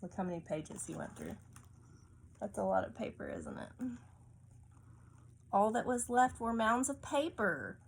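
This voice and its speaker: A woman reads a picture book aloud at an unhurried pace. Her voice is creaky and moves expressively, with coaxing questions to a young listener and faint noise behind her. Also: mid-range voice, expressive. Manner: asks coaxing tag questions of a child listener. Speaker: storybook reader. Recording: faint background room noise.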